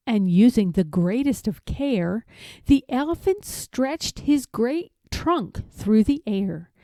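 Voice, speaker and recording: A woman narrates a children's storybook in a high, very animated voice. Her delivery is slow, deliberate and expressive, with a soft, mellow tone. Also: no room sound.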